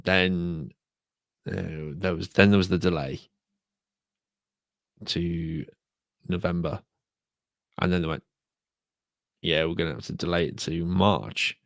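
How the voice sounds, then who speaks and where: A British man hosting a solo podcast, talking casually and repeating himself, croaky from a sore throat. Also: low voice, fairly even intonation, takes it slowly, pauses often, no echo. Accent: British English. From United Kingdom. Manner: rambling, repeats words. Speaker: podcast host.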